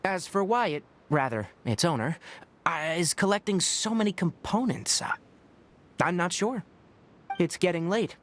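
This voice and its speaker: A man voicing a patient, knowledgeable young ranger in a video game, calm and explanatory with a mildly teasing edge. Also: high voice, a little slow. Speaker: forest ranger and guide. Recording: game audio with faint music behind. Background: faint game music.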